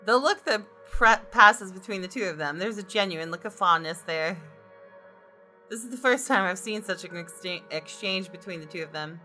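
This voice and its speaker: A woman's voice: medium pitch, moderate pace, sharp tone, soft background music, close to an everyday voice. A woman voicing a wry, observant female designer who narrates a visual novel in a dry, reflective manner. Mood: wry, surprised.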